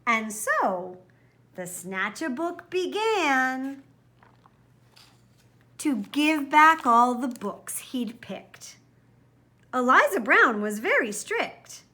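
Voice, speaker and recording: A woman's voice: high-pitched, very animated, extremely slow, pauses often, pushed and bright. A woman reading a children's picture book aloud expressively, with dramatic, careful emphasis. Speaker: storybook reader.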